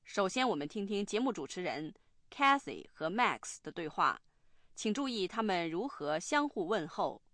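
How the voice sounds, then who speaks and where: A woman's voice: medium pitch, big pitch swings, moderate pace, a bit bright, no room sound. A woman presenting a language lesson in scripted instructional narration.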